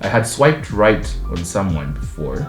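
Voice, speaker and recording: Chatty Ugandan man, low voice. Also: a little slow. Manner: relaxed, chatty, laughs often. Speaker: co-host of a couple's channel. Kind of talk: casual storytelling chat. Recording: echoey room with guitar music.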